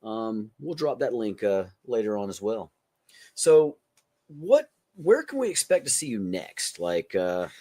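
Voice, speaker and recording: A man's voice: medium pitch, a little mellow. A man hosting a casual podcast chat in a jokey, self-deprecating way, with some casual swearing. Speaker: podcast host.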